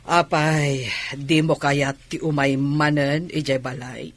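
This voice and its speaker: A woman's voice: low voice, level tone, slow, dry recording, full character voice. A woman playing an insistent, lonely middle-aged aunt with an Ilocano accent in a radio drama, coaxing as she speaks.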